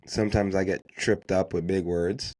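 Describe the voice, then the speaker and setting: A man with a fairly low voice and Haitian Creole as his first language hosts a motivational business podcast. He talks off the cuff and emphatically, repeating his key phrases and throwing out rhetorical questions to drive points home. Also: fairly even intonation, moderate pace, a little mellow. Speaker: podcast host giving networking advice. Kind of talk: unscripted motivational business talk.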